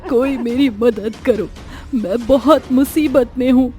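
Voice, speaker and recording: A woman's voice: on the high side, slow, mellow. A woman voicing a vengeful, sorrowful witch ghost in a horror story, with a dramatic, threatening delivery. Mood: pleading. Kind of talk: Hindi horror story voice acting.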